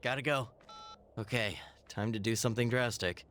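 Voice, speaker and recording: An actor playing a young, wisecracking but weary superhero in a video game cutscene. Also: male voice, medium pitch, moderate pace, fairly bright tone, a little hiss or hum, natural voice. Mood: resolved, hurried.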